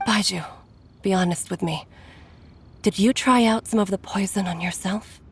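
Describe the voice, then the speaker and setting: A woman voicing a sharp, perceptive poison maker who speaks in a measured, probing, earnest way in a video game. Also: medium pitch, breathy edge. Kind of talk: game voice acting.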